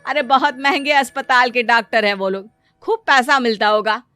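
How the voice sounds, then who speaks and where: A woman's voice: a little high, normal speed, bright, forceful tone, smooth, no echo, studio quality. A woman playing a dismissive, complaining middle-aged father in a cartoon story. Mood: irritated. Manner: sarcastic, scornful, complaining. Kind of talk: acted cartoon story dialogue. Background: music.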